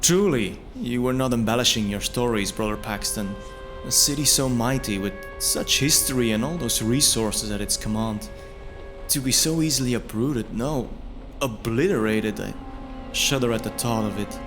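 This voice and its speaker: A man's voice: medium pitch, normal speed, over music, lightly acted. A man playing an earnest male adventurer who speaks formally and dramatically, full of awe and dread, in a fantasy audio drama. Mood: shaken, awed.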